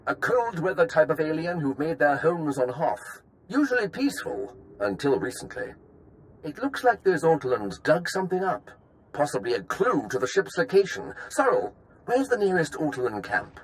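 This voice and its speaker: Eager, lively scholarly lieutenant. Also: male voice, on the high side, moderate pace, low-quality recording. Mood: excited expertise. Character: eager, scholarly military lieutenant, chatty and courteous. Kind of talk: video game voice acting.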